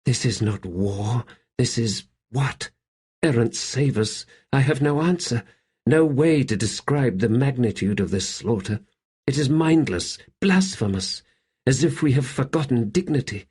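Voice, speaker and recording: A man's voice: mid-range voice, lively intonation, measured pace, a little mellow, slightly rough, voice pushed up. A man voicing a grieving, shaken woman soldier whose inner thoughts come out anguished and questioning, as in an audiobook. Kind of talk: audiobook character voice.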